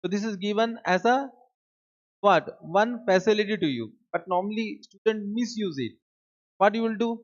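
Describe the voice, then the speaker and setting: A man's voice: high voice, normal speed. A man with an Indian English accent, a native Hindi speaker, gives a classroom lecture. He often checks in with his students and repeats his key points. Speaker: teacher. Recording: dull lo-fi lecture recording.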